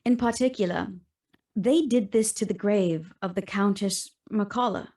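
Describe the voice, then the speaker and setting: An actress playing a solemn young gentlewoman narrating an ordeal in a radio drama, measured, formal and restrained. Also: medium pitch, lightly acted. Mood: somber recounting.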